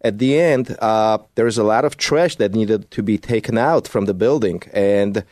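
A man with a Polish accent speaks in a mellow, fairly low voice. He talks conversationally as a native Polish speaker guesting on radio, recorded close and dry. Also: normal speed, no room sound. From Poland. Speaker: real estate agent, studio guest. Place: radio studio.

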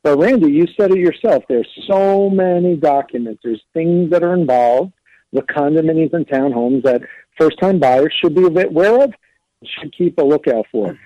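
A man speaking as an attorney guest on a radio show, explaining terms in a measured way. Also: fairly high pitch, warm tone, no room sound, muffled recording.

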